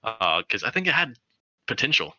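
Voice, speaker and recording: A man co-hosting a casual film podcast, working out his thoughts in halting, tentative phrases. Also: mid-range voice, very animated, bright, forceful tone. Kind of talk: casual group chat.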